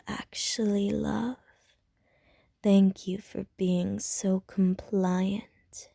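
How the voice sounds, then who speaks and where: A woman's voice: medium pitch, gravelly, husky, no room sound, clean studio sound, heavily acted. A woman playing a whispering seductive vampire. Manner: whispered, soft and teasing. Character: seductive hungry female vampire, flirtatious and coaxing. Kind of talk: ASMR audio roleplay.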